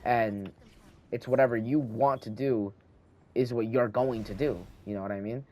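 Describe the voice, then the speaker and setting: A teenage boy talks at a middle pitch and rambles as he thinks aloud over his gameplay. He restarts his sentences often, and a slight lisp shows through. He speaks into a handheld mic at home. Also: fairly even intonation, normal speed, darkish tone. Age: teens. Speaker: gaming channel host. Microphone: handheld microphone.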